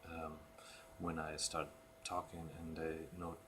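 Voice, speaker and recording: A man from the Basque Country with a Basque Spanish accent, speaking English hesitantly with frequent pauses and "um"s. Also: a bass voice, completely flat delivery, background noise. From the Basque Country, Spain. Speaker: conversation partner.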